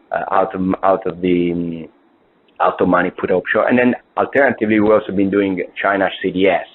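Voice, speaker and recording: A man presenting on a conference call as a fund's investment officer, talking through views with repeated words and restarts. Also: low voice. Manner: fillers and restarts, emphatic repetition. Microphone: conference call phone line. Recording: tinny phone line.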